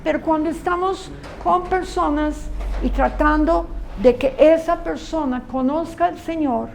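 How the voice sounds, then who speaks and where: A woman's voice: high voice, fairly even intonation, a little slow, creaky, darkish tone, a little hiss or hum. A woman Bible teacher giving a conversational classroom lecture to students. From Colombia. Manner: earnest, conversational teaching with asides.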